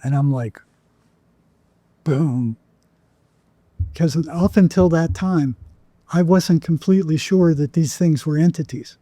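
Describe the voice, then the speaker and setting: Man with a very dark, mellow voice. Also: on the high side, slow pace, pauses often, no room sound. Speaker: podcast guest, psychotherapist. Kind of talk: conversational interview storytelling.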